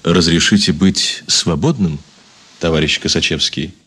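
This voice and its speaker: A man playing a guarded, defiant officer under suspicion in a radio play, husky and terse, with stiff deference. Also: deep voice, a little slow, a bit bright, full character voice. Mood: wary, defensive. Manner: terse, reluctant, formally deferential.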